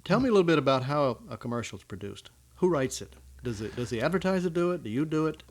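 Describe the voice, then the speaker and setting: A man's voice: fairly high pitch, sing-song, fast talker. A man filling in as a radio host, interviewing casually with an informal, unpolished delivery. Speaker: guest host, amateur interviewer. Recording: 1970s local radio broadcast.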